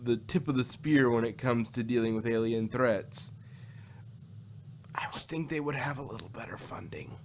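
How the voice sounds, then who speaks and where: A man's voice: mid-range voice, moderate pace. A man chatting casually as co-host of a review show, rambling and repeating his phrases as he goes. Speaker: podcast co-host reviewing audio dramas. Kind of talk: casual discussion. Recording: noticeable background noise.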